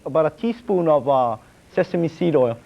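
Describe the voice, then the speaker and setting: A man's voice: fairly high pitch, lively intonation, moderate pace, creaky. A man who is a guest cook on a call-in show, joking and laughing easily. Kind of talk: casual chat. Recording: dull lo-fi broadcast recording.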